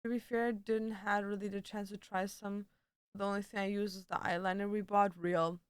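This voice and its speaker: A woman's voice: mid-range voice, little pitch movement, normal speed, clear, resonant tone, no echo, studio quality. A woman livestreamer chatting casually and answering viewers, with a slangy, blunt and playfully sweary way of talking. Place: indoor home streaming setup.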